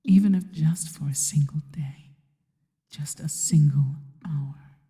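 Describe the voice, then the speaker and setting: A woman performs a worshipful spider monster girl in an intimate ASMR roleplay. The voice is a soft, breathy whisper, low and deeply mellow. Words come slowly and reverently, with adoring, possessive devotion. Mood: reverent, wistful. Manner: slow, deliberate, reverent.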